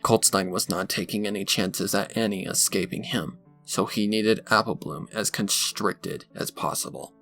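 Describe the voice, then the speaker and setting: A man's voice: lowish voice, steady tone, moderate pace, gravelly, few pauses, pushed and bright. A man reading scripted fan fiction as a dramatic narrator. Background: background music.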